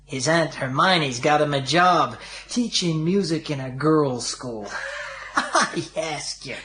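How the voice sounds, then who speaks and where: An actor playing a brash, tipsy young American millionaire playboy with an American accent in a radio drama. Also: male voice, fairly high pitch, animated, slow pace, pushed and bright, a little hiss or hum, heavily acted. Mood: breezy, wry.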